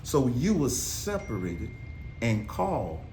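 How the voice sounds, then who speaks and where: A middle-aged father from Carolina, telling family stories in a slow, easygoing, preacherly way. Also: medium pitch, sing-song, darkish tone. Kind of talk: casual chat. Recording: echoey room, faint noise.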